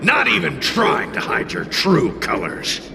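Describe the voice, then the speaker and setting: A gravelly, wildly animated sneering demon. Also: male voice, sits high, unhurried, noisy background, heavily acted. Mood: scornful, outraged. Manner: brash, sneering, theatrical. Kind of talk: video game voice acting.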